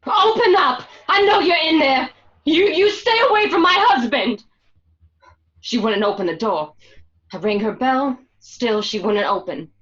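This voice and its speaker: A woman's voice: sits high, expressive, measured pace, fairly bright tone, lo-fi sound. A woman playing a suspicious, wounded gangster's wife in a radio drama, emphatic and confrontational. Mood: furious. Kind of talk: amateur radio drama acting.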